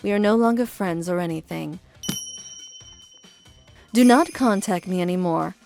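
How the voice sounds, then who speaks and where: A woman's voice: fairly low pitch, unhurried, creaky. A woman voicing a firm, no-nonsense young friend. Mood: cold. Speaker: narrator and voice actor in a manga dub. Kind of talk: dubbed manga drama with narration. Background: faint background music.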